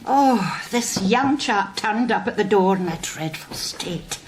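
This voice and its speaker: A woman's voice: medium pitch, expressive, slow, a little hiss or hum. An actress playing a chatty, solicitous older mother in a radio drama, with a homely, gossipy manner and a Scottish accent. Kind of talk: naturalistic radio drama acting.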